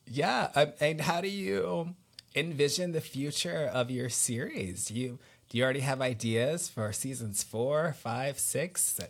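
A man hosting a casual interview podcast, playful and a little halting as he thinks aloud. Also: mid-range voice, creaky. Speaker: podcast host.

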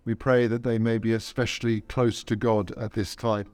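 A man leading intercessory prayers as a priest, reverent and measured, with deliberate pauses between short phrases. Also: lowish voice, level tone, a little mellow, no echo. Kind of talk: intercessory prayer in a church service.

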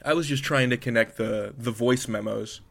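Male interviewer for an arts podcast. Mid-range pitch, conversational delivery. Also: normal speed, vocal fry.

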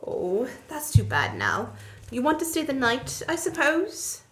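A woman's voice: high voice, wildly swooping pitch, a little slow, fairly bright tone, soft background music, exaggerated voice. A woman playing a nosy, genial older innkeeper with a theatrical, welcoming manner in a student radio play.

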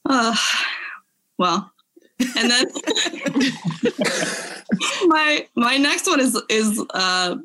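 A woman's voice: on the high side, animated, drawn-out words, long pauses, noisy background. A woman in a casual podcast chat, reading out short passages in an amused, giggly way. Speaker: podcast guest. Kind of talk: casual chat with dramatic readings of online reviews.

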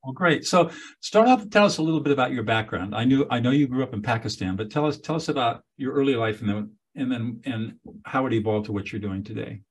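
A man hosting a leadership interview podcast, speaking slowly and deliberately as he introduces his guest. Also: medium pitch, slightly rough. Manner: slow, deliberate spelling out. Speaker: podcast host.

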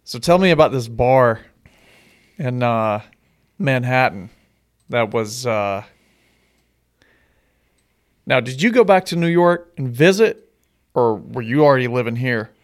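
A man's voice: mid-range voice, animated, a little slow, long pauses. A man hosting a casual interview who mostly gives short reactions and asks follow-up questions.

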